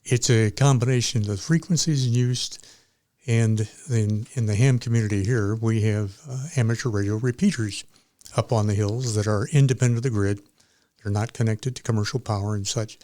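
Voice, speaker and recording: A man speaking as an interview guest, explaining in a measured, slightly hesitant manner. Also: on the low side, fairly even intonation, warm tone, no room sound. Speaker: amateur radio club member, guest.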